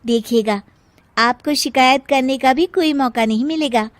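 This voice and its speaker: A woman's voice: high-pitched, normal speed, no echo, lightly acted. A woman voicing a young, quarrelsome and deceitful daughter-in-law in a cartoon story, with emphatic, dramatic delivery. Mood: sweet, dutiful. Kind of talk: Hindi cartoon story voice acting.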